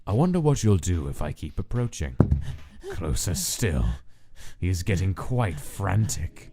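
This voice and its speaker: A man playing a cold, deep-voiced scientist. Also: big pitch swings, very noisy, exaggerated voice. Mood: watchful. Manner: clinical, detached and measured. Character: cold calculating male scientist studying his subjects. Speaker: narrator of a comic dub. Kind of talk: inner-monologue narration.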